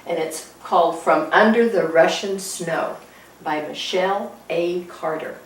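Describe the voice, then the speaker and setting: A woman's voice: lowish voice, measured pace. A woman acting as host, informally introducing and chatting with a guest. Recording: echoey room, distant mic.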